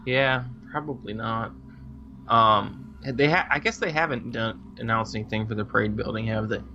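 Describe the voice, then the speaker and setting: A man co-hosting a podcast in casual chat, agreeable and thinking out loud. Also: lowish voice, normal speed, highs cut off, a little hiss or hum. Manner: agreeable, speculating aloud with short backchannels. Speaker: podcast co-host.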